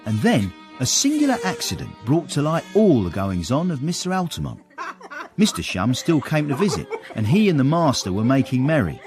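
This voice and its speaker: Wry Victorian British servant-narrator: lively intonation, confiding, mid-pitched, comic radio drama over music. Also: male voice, normal speed. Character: wry, discreet Victorian manservant telling his tale.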